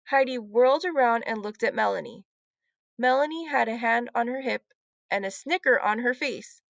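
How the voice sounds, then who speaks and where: High, creaky-voiced woman reading a storybook. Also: normal speed, no echo, clean studio sound. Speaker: read-aloud storyteller. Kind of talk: children's storybook narration.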